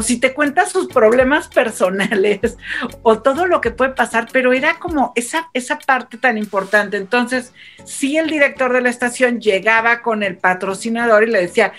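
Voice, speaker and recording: A woman radio broadcaster in a casual panel chat, telling stories and acting out quoted remarks. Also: fairly high pitch. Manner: animated storyteller, acts out quoted remarks.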